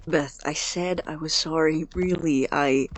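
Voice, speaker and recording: A man acting in an audio drama as an awkward, earnest, apologetic college student who stammers and speaks softly. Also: fairly high pitch, steady tone, measured pace, natural voice. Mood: sheepish. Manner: hesitant, stammering, soft and breathy when sincere.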